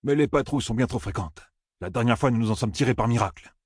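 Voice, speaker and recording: A man voicing a calm older farmer and father who speaks in a measured way. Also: medium pitch, breathy edge, no room sound, clean studio sound, voice pushed up, natural voice.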